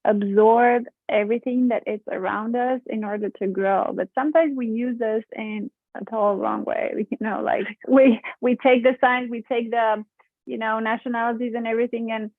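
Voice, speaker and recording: Woman from Romania, podcast host, slightly high voice, hesitant with fillers, tinny dry sound. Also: fairly even intonation, moderate pace, no echo. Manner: hesitant, many fillers, laughs often. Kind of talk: casual interview chat.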